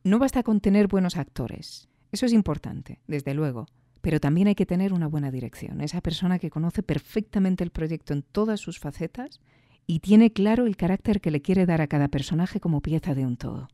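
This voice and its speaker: A woman's voice: lowish voice, expressive, a little fast, mellow, no echo, clean studio sound. A woman with an accent from Spain, hosting a podcast alone with reflective, emphatic commentary and rhetorical repetition. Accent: Spanish from Spain. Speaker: podcast host and reviewer. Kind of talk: reflective solo commentary on audio fiction.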